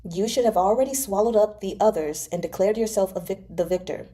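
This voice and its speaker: Woman reading a composed, calculating male adviser, mellow tone. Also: medium pitch, lightly acted. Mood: sorrowful. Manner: measured, reasoning, unruffled. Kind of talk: dramatic novel read-aloud.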